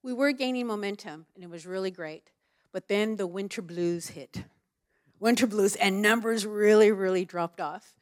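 A woman's voice: mid-range voice, normal speed. Chatty woman recalling church events. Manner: chatty, frank, fillers like "um" and "like". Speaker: church ministry leader. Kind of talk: casual chat.

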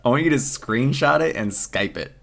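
A young man hosting a podcast in casual chat, with a booming voice and frequent laughter. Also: animated, normal speed, creaky, a bit bright. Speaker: podcast host.

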